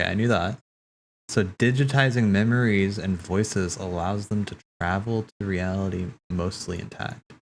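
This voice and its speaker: Low-voiced man, halting casual commentary, noisy recording. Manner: halting, thinking aloud, stammering. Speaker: streamer hosting a cutscene watch party. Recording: clear background noise.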